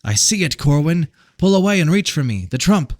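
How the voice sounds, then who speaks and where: Male reader as storybook prince, urgent, loud, raised pitch, pressing, dry sound. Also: big pitch swings, brisk, dry recording. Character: urgent brotherly prince calling out in alarm.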